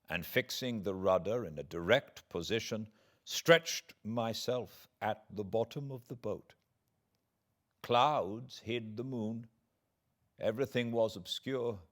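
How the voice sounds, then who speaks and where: Slow, low-voiced British male narrator. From Britain. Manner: theatrical, deliberate phrasing with dramatic pauses and swells. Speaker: audiobook narrator. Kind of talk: dramatic literary audiobook reading.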